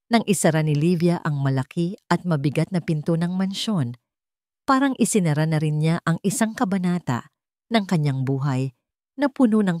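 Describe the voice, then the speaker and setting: Female storyteller, low register, close dry sound, for scripted romantic drama narration. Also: mellow, no echo.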